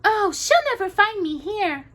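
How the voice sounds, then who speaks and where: A woman voicing a sweet, cheerful young princess in a high, breathy storybook voice. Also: unhurried, fairly bright tone. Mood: pleading.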